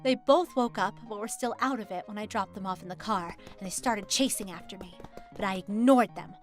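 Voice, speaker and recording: A woman's voice: mid-range voice, brisk, soft background music. A woman giving earnest, dramatic first-person narration of a scripted story.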